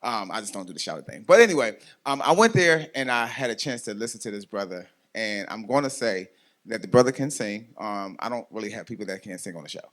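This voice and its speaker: A man's voice: on the low side, lively intonation, quick pace, fairly bright tone. Rambling man in his forties hosting. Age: 40s. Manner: rambling, many ums, laughs often. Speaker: talk show host. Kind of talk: casual chat interview.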